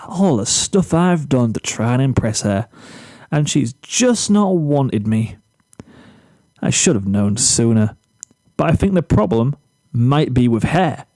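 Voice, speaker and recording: A man's voice: medium pitch, lively intonation, slow pace, mellow, no room sound. A man voicing a cocky, oblivious teenage boy, whiny and smug, in a story reading. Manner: smug, complaining.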